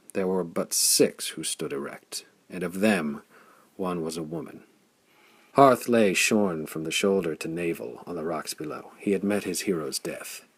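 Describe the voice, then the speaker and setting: A man narrating a pulp adventure audiobook in a measured, theatrical storytelling style. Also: sits low, vocal fry, husky, dry recording.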